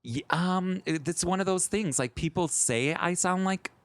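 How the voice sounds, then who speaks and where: An American man co-hosting a podcast in casual banter, chatty and expressive, with a campy twang in his voice. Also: high-pitched, moderate pace, clear, resonant tone, no room sound. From Chicago, USA. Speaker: podcast co-host.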